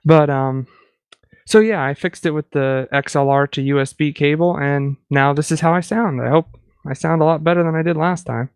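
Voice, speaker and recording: A man's voice: fairly high pitch, fairly even intonation, normal speed, smooth, no echo. A man co-hosting a podcast in casual tech chat, talking in a chatty, self-deprecating way. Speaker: podcast co-host.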